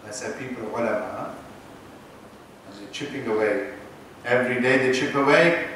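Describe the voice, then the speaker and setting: A man's voice: medium pitch, fairly bright tone, slight background noise. Man, British accent, imam lecturing, teasing asides, very echoey room, distant mic, faint ambience. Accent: British English. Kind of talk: islamic lecture.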